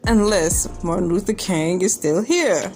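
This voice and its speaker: A woman's voice: mid-range voice, unhurried, darkish tone. A woman hosting a casual, comedic commentary on a news article, with incredulous, joking and rambling asides. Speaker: video host. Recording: music bed under the voice. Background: background music.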